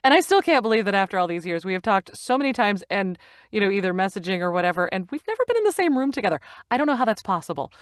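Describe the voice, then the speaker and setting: A woman's voice: mid-range voice, sing-song, dry recording, studio quality. Bubbly middle-aged woman voice actor. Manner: bubbly, laughs a lot, halting with fillers. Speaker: guest, voice actor and narrator. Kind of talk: casual video-call interview. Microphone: video call from a home recording studio.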